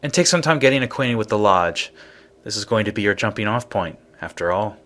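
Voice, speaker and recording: A man's voice: lowish voice, animated, fairly quick, fairly bright tone. An actor playing a serious man in a space adventure video game, delivering scripted dialogue. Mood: welcoming.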